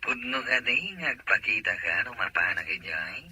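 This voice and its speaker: Actor as doubting phone caller, piercing, very raspy, telephone-filtered. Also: male voice, mid-range voice, lively intonation, moderate pace, bright, forceful tone, full character voice. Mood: doubtful, insistent. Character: suspicious man on the phone in a drama.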